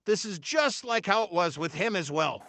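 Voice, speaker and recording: High, bright, animated male commentator. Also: normal speed, slight background noise. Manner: wry, joking. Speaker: video commentator. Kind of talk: humorous reaction commentary.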